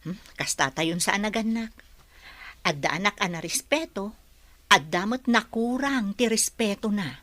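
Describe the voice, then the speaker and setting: A woman's voice: medium pitch, moderate pace, fairly bright tone, no room sound, close to an everyday voice. A Filipino woman playing a sympathetic, wise village mother giving comfort in a radio drama. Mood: consoling. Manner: comforting, advising. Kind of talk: Ilocano radio drama acting.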